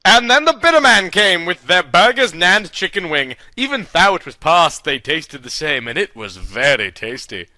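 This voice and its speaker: A man reading a silly comic story aloud in a deadpan, mock-naive way. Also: high voice, normal speed, few pauses, no room sound.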